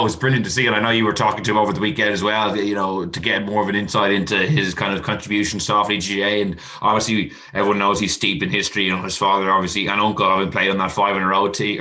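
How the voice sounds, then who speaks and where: A man with an Irish accent hosting a sports podcast over a video call, presenting in a brisk, upbeat way. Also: on the low side, pushed and bright. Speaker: podcast host. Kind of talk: casual sports chat. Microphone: webcam video call.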